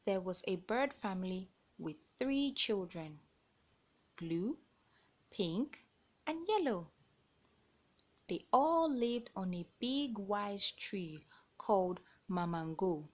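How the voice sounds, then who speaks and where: A woman reads a picture book aloud for children at a very slow, deliberate pace. Her voice sits at a middle pitch, and she explains things simply as she hosts. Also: expressive, lo-fi sound. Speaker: host of a children's read-aloud show. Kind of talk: children's storybook read-aloud.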